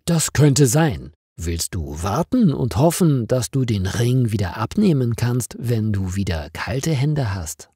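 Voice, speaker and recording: A man's voice: medium pitch, very animated, takes it slowly, hardly any pauses, dark tone, slightly rough, no echo, studio quality, exaggerated voice. A man voicing a curious, helpful female office colleague in a storybook, inquisitive and practical. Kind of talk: storybook character dialogue.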